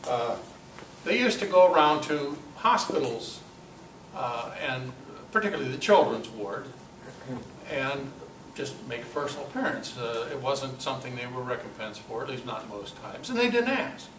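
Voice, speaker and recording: A man in his seventies giving an informal club talk, halting as he searches for words. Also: medium pitch, very animated, fairly bright tone. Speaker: club speaker. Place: club meeting room. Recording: echoey meeting room, distant mic, noisy.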